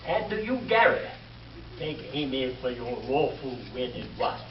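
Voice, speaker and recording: A man's voice: mid-range voice, big pitch swings, a little slow, crowd noise. A man playing a fussy, flustered justice of the peace in an old-time radio comedy. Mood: officiating. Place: live stage show before an audience. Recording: echoey, muffled, distant live stage with audience.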